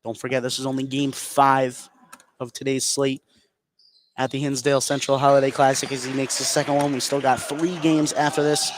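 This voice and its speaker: A man's voice: medium pitch, little pitch movement, moderate pace. An American man doing live radio basketball play-by-play as the game's commentator. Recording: noisy gym ambience behind commentary.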